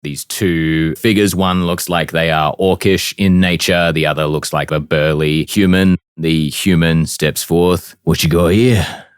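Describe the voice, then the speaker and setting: A man's voice: steady tone, a little slow, hardly any pauses, clean tone, no echo, studio quality. A man narrating an improvised tabletop game as game master, with an encouraging, reactive manner toward the players. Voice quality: deep.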